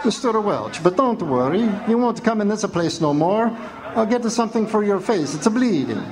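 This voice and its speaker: An actor playing a kindly, worried café owner in a live radio play. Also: male voice, sits high, lively intonation, moderate pace, some chatter behind. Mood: concerned. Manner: concerned, fussing. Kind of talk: live radio drama acting.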